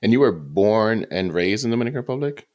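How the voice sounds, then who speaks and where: A man hosts a podcast interview and asks his questions in a relaxed, conversational way. His voice sits somewhat low. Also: fairly quick. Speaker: podcast host.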